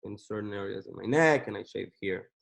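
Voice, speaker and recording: A man's voice: on the low side, fairly quick, vocal fry. Guy answering interview questions, easygoing and explaining, some ums. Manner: relaxed and explanatory, with fillers. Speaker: company co-founder and CEO.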